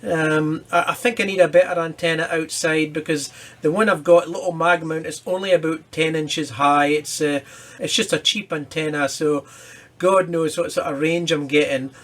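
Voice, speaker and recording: A man's voice: on the high side, fairly even intonation, moderate pace, a bit bright. A man presenting a hobby electronics repair in his workshop, talking informally and thinking aloud as he works. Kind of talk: casual hands-on demonstration commentary. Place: workshop, at a workbench.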